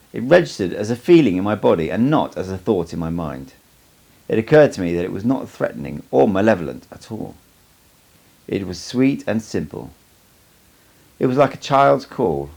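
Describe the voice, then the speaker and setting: A man's voice: low voice, gravelly, darkish tone. A man reading a first-person story aloud as scripted audiobook narration. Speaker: amateur audiobook reader.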